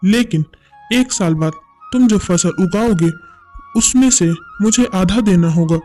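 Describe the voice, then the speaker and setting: A man plays a scheming rich landowner from a village cartoon. The voice is high for a man and speaks with bossy self-satisfaction, with music underneath. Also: a little slow, darkish tone. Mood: businesslike. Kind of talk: animated moral story dialogue in Hindi.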